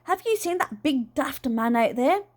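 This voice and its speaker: A woman voicing a bossy, no-nonsense storybook aunt, brisk, opinionated and full of disapproval. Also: high voice, expressive. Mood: exasperated.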